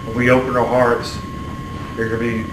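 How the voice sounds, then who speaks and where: Middle-aged man, preacher, earnest halting delivery, even pitch, clear background hum. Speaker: Baptist preacher. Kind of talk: sermon and closing prayer. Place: small church sanctuary, at the pulpit. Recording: roomy, distant mic, steady room hum.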